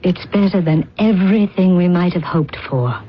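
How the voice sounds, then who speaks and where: A woman's voice: fairly low pitch, moderate pace, a little hiss or hum, lightly acted. An actress playing a resolute alien woman in love, in a radio drama, speaking earnestly and formally. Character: resolute alien woman, the captain's secret beloved. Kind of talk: old-time radio drama acting. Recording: dull, muffled radio drama recording.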